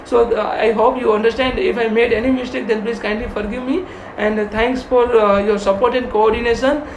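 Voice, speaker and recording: A spiritual teacher with an Indian accent giving a devotional lecture in an earnest, repetitive, halting way. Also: mid-range voice, fairly even intonation. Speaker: spiritual teacher and video host. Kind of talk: devotional lecture with verse recitation. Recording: roomy echo, faint background noise.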